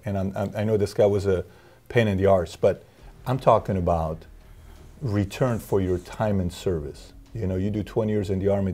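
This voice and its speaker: A man hosting an interview, asking probing questions in a lively way, often restarting his sentences. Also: low-pitched. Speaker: interview host. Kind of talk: casual interview talk.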